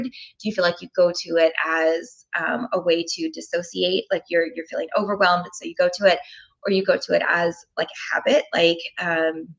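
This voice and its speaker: Woman host, lowish gravelly voice, chatty with many ums, slightly dull lo-fi sound. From Oklahoma. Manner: warm, thinking aloud with frequent ums. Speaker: tapping session host. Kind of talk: live-stream chat, reading comments.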